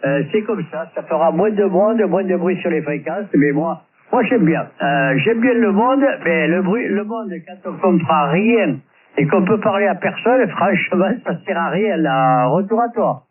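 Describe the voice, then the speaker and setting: A man's voice: high voice, expressive, measured pace, a crowd in the background. A man chatting casually as an amateur radio operator, rambling conversationally over a radio transmission. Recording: tinny narrow-band radio link, distant, faint static and clicks.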